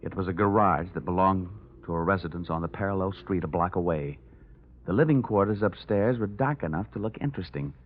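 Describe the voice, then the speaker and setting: A man's voice: low voice, fairly quick, slight background noise, close to an everyday voice. An actor playing a wry, wisecracking insurance investigator who narrates his case in vintage radio noir style. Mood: wry, sardonic. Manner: sardonic, wisecracking. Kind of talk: 1940s-50s radio noir narration and acting. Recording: tinny vintage radio recording, music behind.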